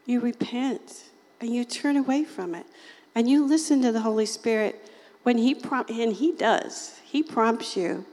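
Raspy woman preacher, folksy and chatty, talking to a small group in a hall with a long echo. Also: a little high, unhurried, a little mellow. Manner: chatty, folksy, often laughs and acts out quoted voices. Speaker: lay preacher. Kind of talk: informal sermon to a small group.

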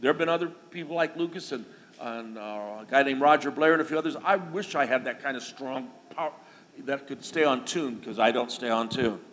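A man's voice: medium pitch, fairly quick, fairly bright tone. A middle-aged man preaching a sermon to his church, talking in a conversational, folksy way with asides and small jokes. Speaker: pastor. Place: church sanctuary. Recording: long reverb of a church hall, faint noise.

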